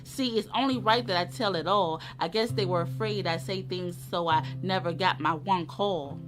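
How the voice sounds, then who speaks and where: A woman's voice: fairly low pitch, normal speed. A woman giving a dramatic reading of poetry. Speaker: poetry reader. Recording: live music under the voice. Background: plucked guitar music.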